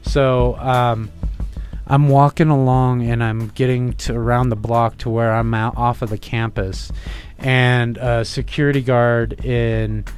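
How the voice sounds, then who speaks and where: A man with a powerful, forceful voice tells a story on a radio talk show. He acts out the back-and-forth, and his pitch sits in the middle. Soft music plays faintly underneath. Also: measured pace. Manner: animated storyteller who acts out dialogue. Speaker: radio guest. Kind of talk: casual radio chat, storytelling.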